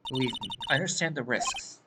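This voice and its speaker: Woman as a low, composed chief prosecutor. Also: lively intonation, background noise. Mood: cool, resigned. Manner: measured, formal, cool. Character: composed, guarded female chief prosecutor, resigned and formal. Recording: game music behind the voice.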